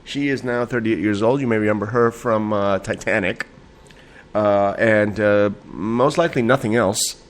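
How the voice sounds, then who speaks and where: Rambling, tipsy late-night podcast host. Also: male voice, mid-range voice. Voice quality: a little nasal. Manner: rambling, laughing, profane, with many fillers. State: slightly tipsy. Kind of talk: late-night casual solo talk show. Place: home at night.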